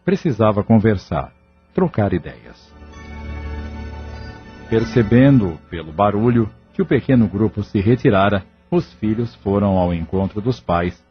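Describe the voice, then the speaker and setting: A man narrates an audio drama in a formal, scripted way. His voice is fairly low. The sound is dull, with faint music underneath. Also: expressive, a little slow. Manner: formal, storytelling. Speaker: story narrator.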